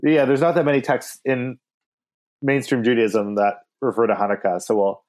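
A man's voice: medium pitch, fairly even intonation, moderate pace, darkish tone. A man co-hosting a podcast, talking through the weekly Torah portion in a thoughtful, rambling way with many restarts. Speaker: podcast co-host.

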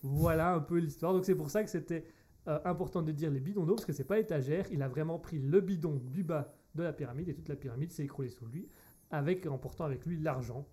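A man with a fairly high, mellow voice co-hosts a comedy radio show. He tells stories with a giggly, playful manner. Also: quick pace, breathy edge. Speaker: radio co-host. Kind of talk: joking radio banter.

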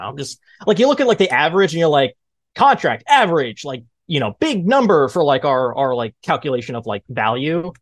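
A man in his thirties hosting a sports podcast, chatting casually in an animated way. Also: medium pitch, no room sound. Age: 30s. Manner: animated, mimics exclaimed reactions. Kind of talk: casual sports debate chat.

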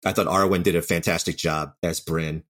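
A man's voice: low-pitched, steady tone, brisk, fairly bright tone. A man speaking as an interview guest, a writer reflecting candidly and anecdotally on his work.

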